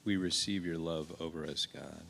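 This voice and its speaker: A man with a Norwegian accent, a pastor, guiding a slow, soft, prayerful meditation in a church. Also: very deep voice, steady tone, gravelly, slight background noise. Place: church sanctuary.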